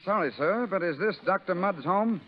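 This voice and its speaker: A man's voice: sits high, expressive, normal speed. A man playing a polite but firm army lieutenant in 1930s radio drama, formal and businesslike. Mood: brisk, official. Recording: dull 1930s radio recording, long reverb.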